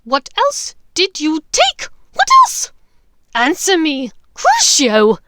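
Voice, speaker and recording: A woman voicing a crazed, furious witch in an audiobook story, seething and shouting menacingly. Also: sits high, wildly swooping pitch, slow pace, very noisy, pitched above the performer's own voice, exaggerated voice. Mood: crazed threatening. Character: crazed, furious adult witch interrogating a captive.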